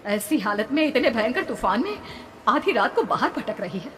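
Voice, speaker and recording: A woman's voice: a little high, sing-song, normal speed, a bit bright, pitched above the performer's own voice. A woman voicing a kindly, anxious aunt in a horror story, concerned and questioning. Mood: surprised, concerned. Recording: long reverb, background noise.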